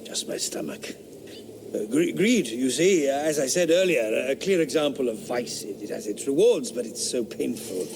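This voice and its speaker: An actor playing a haughty, sceptical 18th-century philosopher who talks with scorn and drops into fearful whispers, in a radio drama. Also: male voice, mid-range voice, lively intonation, normal speed, gravelly, background noise. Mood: uneasy.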